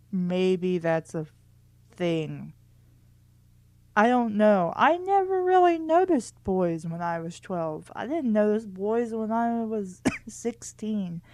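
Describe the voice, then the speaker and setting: A woman's voice: medium pitch, a little mellow, no room sound. A woman reading a children's book aloud in a casual way, with wry, candid asides of her own. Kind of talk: casual read-aloud with commentary.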